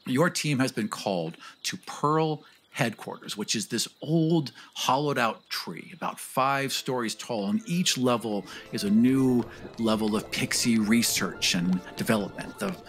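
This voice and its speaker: A man's voice: mid-range voice, a little slow, hardly any pauses, fairly bright tone. A man narrating a tabletop game story as game master. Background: music.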